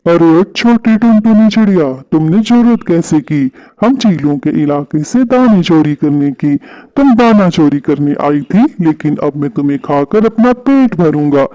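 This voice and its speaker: A man's voice: on the high side, expressive, a little slow, dark tone, lo-fi sound. A man voicing a big male kite, a predatory bird in a children's cartoon. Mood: menacing, gloating. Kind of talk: Hindi children's cartoon voice acting.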